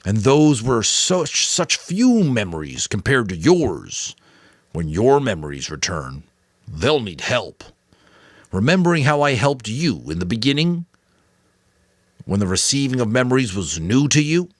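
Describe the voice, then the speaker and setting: A man's voice: medium pitch, sing-song, dry recording, studio quality, voice pushed up. A man voicing a weary but firm old mentor, grave, caring and earnest, in a dramatic audiobook reading. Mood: urgent, earnest. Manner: earnest, emphatic, deliberate.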